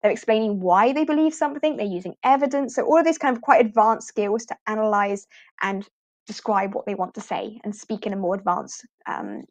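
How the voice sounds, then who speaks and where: A woman's voice: mid-range voice, fairly quick, a little mellow, no room sound. A British woman from the UK, an online English teacher, rambling chattily through a live walkthrough, with fillers and light laughs. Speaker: online ESL teacher hosting a livestream.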